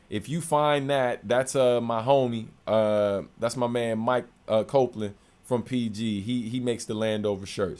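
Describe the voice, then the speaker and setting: A man with a deep, low-register voice talks loosely and conversationally, answering questions in a home studio. His pitch sits in an ordinary middle range, and he speaks in a relaxed, unhurried way. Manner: relaxed, conversational, many fillers. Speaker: rapper and producer hosting a livestream. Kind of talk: casual livestream Q&A.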